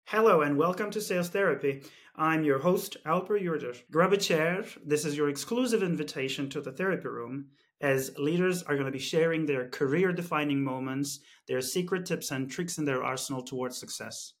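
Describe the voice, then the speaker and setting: A man's voice: on the high side, brisk, few pauses. A man hosting a podcast interview, upbeat and chatty, asking personal questions. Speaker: podcast host.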